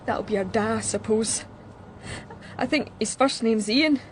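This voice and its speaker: An actress playing a shaken, chatty young woman musician with a Glasgow Scottish accent, talking in a halting, blunt, colloquial way in a radio drama. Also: a little high, slight background noise, natural voice. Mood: subdued. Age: young adult. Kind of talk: naturalistic radio drama acting.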